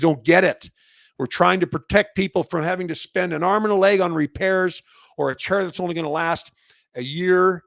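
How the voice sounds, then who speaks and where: Middle-aged Canadian-accented male host, gravelly voice, dry close sound, unscripted and rambling, fired up. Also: medium pitch, dry recording. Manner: rambling, many fillers and restarts, gets fired up on his soapbox. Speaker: host of a product industry update. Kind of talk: unscripted solo monologue.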